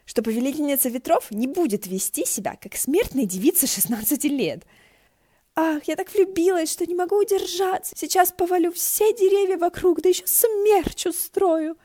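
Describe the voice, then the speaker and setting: Woman playing young male wind deity, storybook, indignant, dramatic, raised pitch, animated swings. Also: moderate pace. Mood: mocking, exasperated. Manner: exclamatory, theatrical.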